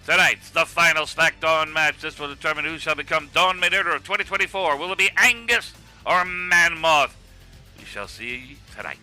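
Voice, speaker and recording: A brash wrestling commentator from a web cartoon voiced by a man. His voice sits high and is extremely sharp and forceful, with faint music behind it. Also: expressive, moderate pace, poor audio. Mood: hyped. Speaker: sports commentator.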